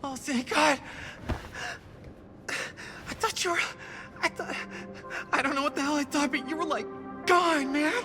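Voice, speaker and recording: An actor voicing a wisecracking young slacker in a cinematic horror game, talking in loose, slangy, profane jokes. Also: male voice, extremely high pitch, fairly even intonation, measured pace, long pauses, bright, forceful tone, faint music behind, exaggerated voice. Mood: relieved, shaken.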